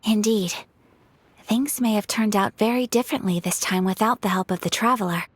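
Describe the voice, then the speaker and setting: An actress voicing a refined young noblewoman in a video game, composed and courteous, with a soft, formal way of speaking. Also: medium pitch, fairly quick, husky, no echo, lightly acted. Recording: game audio with soft music behind.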